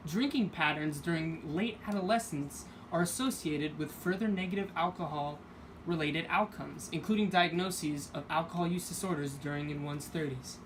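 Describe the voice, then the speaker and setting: A woman's voice: low voice, moderate pace. Young female student, nineteen, reads a class report evenly over audible noise. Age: young adult. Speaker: student presenter. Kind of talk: reading a class presentation.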